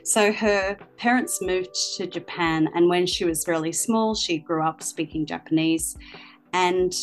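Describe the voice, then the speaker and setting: A woman's voice: lowish voice, a little slow, faint music behind, poor audio. Chatty woman in her fifties. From Australia. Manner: chatty, storytelling with many ums. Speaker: guest, language teacher and author. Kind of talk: interview answers.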